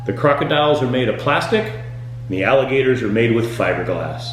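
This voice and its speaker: A man delivering scripted comedic tour-guide patter as a boat skipper, with deadpan, punning jokes. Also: medium pitch, a little fast. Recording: very echoey room, music underneath.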